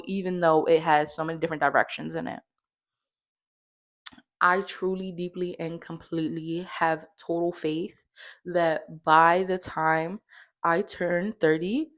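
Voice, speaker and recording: A woman in her late twenties talks straight to her viewers in a relaxed personal vlog. Her voice sits a little low, and she rambles openly, leaning on casual fillers as she goes. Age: 20s. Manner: chatty, candid, lots of fillers like "like" and "you know". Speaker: vlogger.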